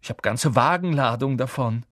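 A man's voice: mid-range voice, normal speed, a bit bright, no echo, studio quality, lightly acted. A man voicing an earnest, bold and kind schoolboy in an audiobook, speaking emphatically. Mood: insistent. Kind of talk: audiobook character voice.

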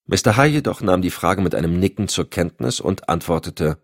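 A man's voice: low-pitched, lively intonation, brisk, no room sound, studio quality. A man reading a thriller audiobook as narrator in a scripted, dramatic style.

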